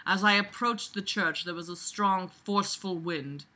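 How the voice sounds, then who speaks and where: Casting: very bright, sharp voice for an exasperated read-along critic; casual, blunt, sarcastic, swings from muttering to emphatic outbursts. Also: medium pitch, moderate pace. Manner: animated, emphatic, jumps from muttering to loud outbursts. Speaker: reader reviewing a book aloud. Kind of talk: casual running commentary while reading a novel aloud.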